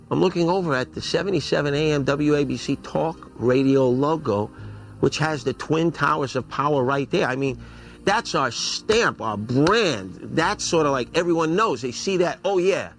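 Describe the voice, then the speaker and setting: A man who works in talk radio, giving reflective commentary in an interview. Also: on the high side.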